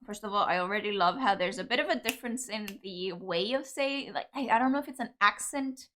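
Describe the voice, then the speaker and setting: A woman's voice: medium pitch, a little fast, a bit bright. A young woman from Cyprus, reacting with enthusiasm to songs as a video host, full of fillers and loving remarks. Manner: gushing, enthusiastic, lots of fillers. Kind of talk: casual music reaction commentary.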